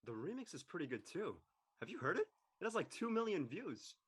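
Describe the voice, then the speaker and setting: A man's voice: sits high, very animated, fairly quick, breathy edge, full character voice. A man playing an upbeat, laid-back teenage boy, a casual, encouraging best friend in a comedy drama. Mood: teasing, encouraging. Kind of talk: comedic scripted audio drama dialogue.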